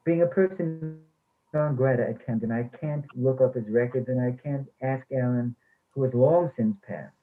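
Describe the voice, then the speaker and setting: Male, mid-pitched actor playing formal, defensive academic; stage reading, narrow phone-grade audio. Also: normal speed, lightly acted. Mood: wistful, regretful. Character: defensive, haunted former English instructor, later retired. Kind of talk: readers theatre play reading. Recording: thin, phone-like sound.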